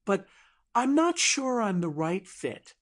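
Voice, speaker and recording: A woman acts out a proud but hesitant young woman for an audiobook drama. Her words come carefully and slowly, with a guarded manner. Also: medium pitch, big pitch swings.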